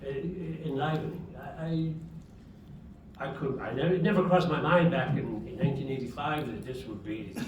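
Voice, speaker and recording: A man actor speaking haltingly and gratefully on a convention panel. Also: medium pitch, a little mellow, slight background noise. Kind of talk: panel talk. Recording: very echoey hall, distant mic.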